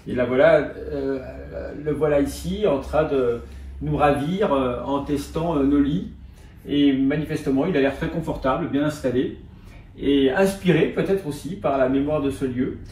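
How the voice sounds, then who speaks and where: A man presenting a hotel in a casual, hesitant way, with many pauses and fillers. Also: mid-range voice, a little mellow. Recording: echoey room, distant mic.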